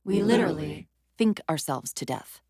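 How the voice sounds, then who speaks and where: A female AI companion in a military sci-fi game. She is quick-witted, earnest and strained, briefing a soldier crisply. Her voice swings widely in pitch, and the sound is very dry. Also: lively intonation, darkish tone, dry recording. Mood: strained, vulnerable. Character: female military AI companion, urgent, clever, wry, increasingly strained. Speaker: video game voice actor. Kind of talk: video game voice acting.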